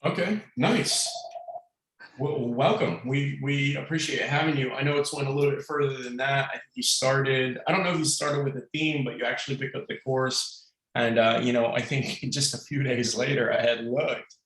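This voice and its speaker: A man hosting a casual webinar, friendly and rambling, with quick asides. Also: medium pitch, steady tone, a bit bright, roomy sound, rough recording. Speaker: webinar host. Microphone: video call.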